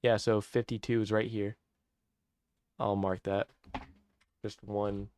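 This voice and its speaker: An eighteen-year-old man ranting excitedly in a personal vlog, amazed and repeating himself, often restarting sentences. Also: on the low side, slow pace, slight background noise. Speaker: vlogger.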